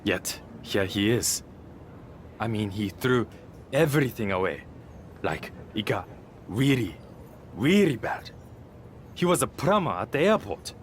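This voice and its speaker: A confident masked male luchador in a wrestling game's story scene. He speaks bluntly and assuredly in a fairly low voice, with faint ambient noise behind him. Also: very animated, unhurried, pauses often. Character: proud, confident masked luchador, blunt social-media star. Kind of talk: scripted video game cutscene acting.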